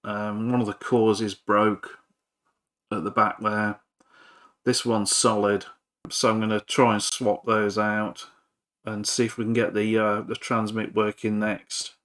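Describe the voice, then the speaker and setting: A man's voice: fairly low pitch, little pitch movement, a little slow, fairly bright tone. A man giving an informal, hesitant, rambling commentary on a repair at his workbench, with a self-effacing manner. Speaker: hobbyist electronics repairer and video presenter.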